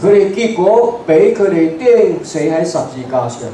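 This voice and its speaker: A man with a Hong Kong Cantonese accent preaches in short, emphatic bursts, stopping after each for an interpreter. His voice is mellow and fairly high for a man. It is picked up from a distance in a very echoey church hall with a faint hiss. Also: animated. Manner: emphatic, speaks in short phrases and pauses for the interpreter. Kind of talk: bilingual sermon.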